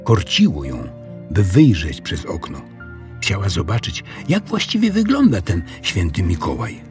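A man narrating a children's audiobook in an expressive storytelling style. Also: low-pitched, unhurried, soft background music.

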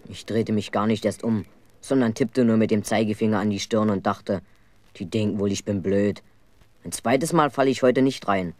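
A man's voice: lowish voice, steady tone, moderate pace, darkish tone, no echo, lightly acted. An actor playing an earnest, aggrieved schoolboy who tells his own story in a 1970s German children's radio play.

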